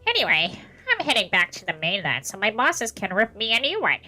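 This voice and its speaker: A woman voicing a sly, theatrical male official in a visual novel, with playful mock politeness and drawn-out emphasis. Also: medium pitch, big pitch swings, pushed and bright, soft background music, voice pushed up, exaggerated voice. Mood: breezy farewell. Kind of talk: visual novel character reading.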